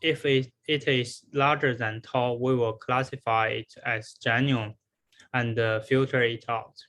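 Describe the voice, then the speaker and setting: Slow-paced man presenting research. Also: medium pitch, steady tone, fairly bright tone. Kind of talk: scripted academic presentation.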